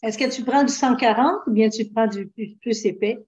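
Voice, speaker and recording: Female moderator, high voice, casual hosting, dull lo-fi call sound. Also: moderate pace, a little mellow. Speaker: session moderator relaying questions. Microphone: video call. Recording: dull, lo-fi video call audio.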